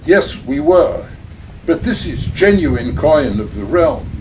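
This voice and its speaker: A smooth, scheming crime boss, as an actor puts him on, talks in ornate, formal phrases. His pitch swings widely and he sits a little off the mic. A faint hiss runs under this radio play. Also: very animated, takes it slowly, a little mellow, distant mic, poor audio. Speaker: actor in community audio drama.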